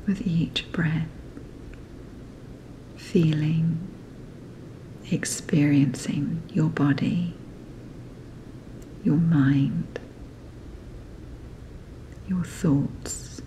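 A woman narrating a guided sleep meditation in a soft, breathy, whispery, soothing manner. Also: low-pitched, steady tone, drawn-out words, long pauses, slight background noise, low-quality recording.

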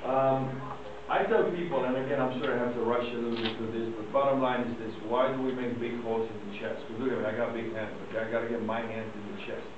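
A middle-aged man with a Romanian accent giving a conference lecture from a podium, brisk and conversational, asking questions and answering them himself. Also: medium pitch, steady tone, noisy background. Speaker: heart surgeon lecturer. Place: large darkened conference hall. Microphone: podium mic, filmed by a far camera. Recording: echoey hall from far off, dull.

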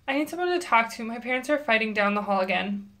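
A woman plays a bitter, lonely teenage girl in an audio drama. The voice is fairly high, and she talks in an emotional, guarded way. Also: expressive, fast talker, fairly bright tone, a little hiss or hum. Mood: upset.

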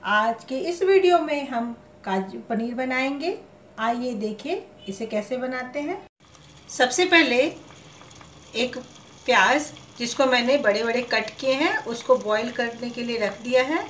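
A woman narrates her recipe step by step in a calm, instructive way, mixing English cooking terms into Hindi. Her voice sits slightly high. The recording carries a roomy kitchen echo and faint background noise. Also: measured pace. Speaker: cooking channel host.

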